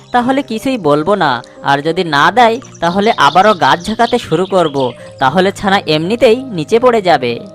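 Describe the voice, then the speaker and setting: A woman voicing a sly, greedy, bullying fox in an animated moral story, with a threatening, taunting manner. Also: lowish voice, natural voice. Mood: scheming.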